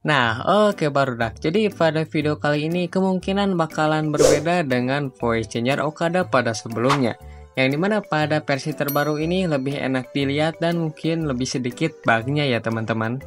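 A man's voice: on the high side, moderate pace. A young man narrating a tutorial in an informal, chatty, slangy way as a YouTube host. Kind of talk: casual screen-recording tutorial narration. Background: background music.

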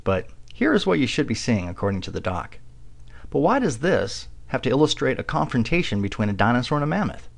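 A man's voice: mid-range voice, big pitch swings, a little fast, gravelly. A man narrating a video voice-over with dry, mocking sarcasm.

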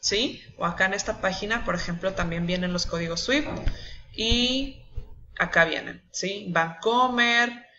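Bright young Mexican woman explaining steps. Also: medium pitch, unhurried, highs cut off. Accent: Mexican Spanish. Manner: patient, step-by-step explaining with frequent checks like "¿sí?" and "¿ok?". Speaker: nutritionist walking team members through a website. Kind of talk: screen-recorded tutorial.

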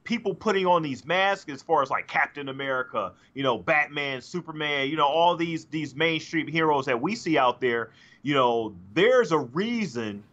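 Bright, lively man on dull audio. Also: a little high, moderate pace, few pauses. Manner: hesitant, many restarts and fillers. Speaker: podcast co-host. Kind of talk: casual chat. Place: home room, indoors. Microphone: studio mic on a video call.

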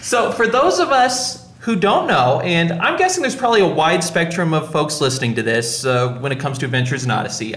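A man's voice: fairly high pitch, a bit bright, roomy sound. A man hosting a casual podcast, chatting in a jokey, self-deprecating way. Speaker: podcast host.

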